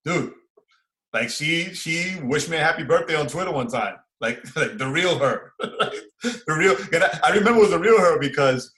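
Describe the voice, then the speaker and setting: A man, chatting casually and excitably as a podcast co-host, using slang and repeating phrases for emphasis. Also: fairly high pitch, moderate pace. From Far Rockaway, New York, United States. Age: 40s. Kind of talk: casual fan chat, reviewing and reacting.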